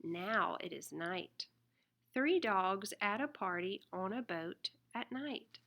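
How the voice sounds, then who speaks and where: A woman reading a children's picture book aloud in an expressive, deliberate way. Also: mid-range voice, creaky. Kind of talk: read-aloud children's storybook narration.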